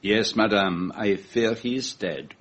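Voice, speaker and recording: An actor playing a shrewd, courteous foreign detective with a French accent and formal, deliberate, commanding speech in a radio drama. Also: male voice, lowish voice, fairly bright tone, slightly rough, full character voice. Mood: grave, measured.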